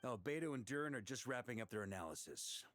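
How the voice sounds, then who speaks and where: A man's voice: medium pitch, lively intonation, fast talker, a bit bright, lightly acted. A man playing a frank knight leader. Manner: friendly and direct, briefing others. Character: commanding male knight leader, calm and frank. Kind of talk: game voice acting.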